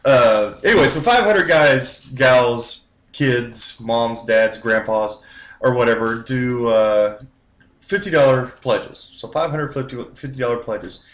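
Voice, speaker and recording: Texan man, easygoing gamer chat, middle pitch, roomy echo. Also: takes it slowly, a bit bright. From Texas. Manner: laid-back, joking, tells anecdotes with quoted dialogue. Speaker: gaming podcast co-host. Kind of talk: casual chat.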